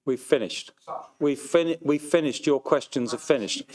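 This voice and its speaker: A man's voice: a little high, moderate pace, a little mellow. A man chairing a council meeting, curt and impatient with a questioner.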